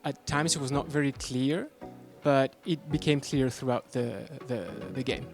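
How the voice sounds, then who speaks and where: A man's voice: mid-range voice, fairly even intonation, unhurried. A man who played a game, reflecting on it in an interview while searching for words. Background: background music.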